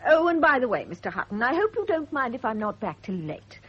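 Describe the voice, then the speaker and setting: An actress playing a blunt, opinionated British nurse in a radio drama, brisk and primly disapproving. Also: female voice, fairly high pitch, big pitch swings, husky. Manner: brisk, tart, outspoken. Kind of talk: classic radio drama acting. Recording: muffled, noisy old radio recording.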